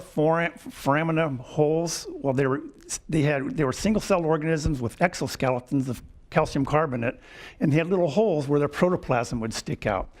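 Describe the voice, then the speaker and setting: A man in his seventies giving a lecture with personal stories, wry and chuckling, often clearing his throat. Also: a little high, darkish tone. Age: 70s. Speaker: guest lecturer. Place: lecture hall.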